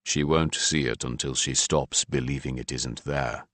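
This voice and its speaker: A man voicing a calm, thoughtful husband and father in an audiobook, speaking in a dry, measured way. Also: dry recording, exaggerated voice. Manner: dry, low-key, measured.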